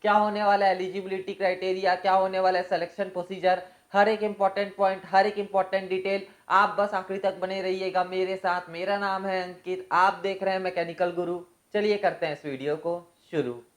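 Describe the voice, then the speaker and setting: A woman's voice: medium pitch, flat delivery, brisk, hardly any pauses. A woman hosting an informational explainer for viewers, going through details methodically point by point and mixing in many English terms. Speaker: host of an exam and job information channel.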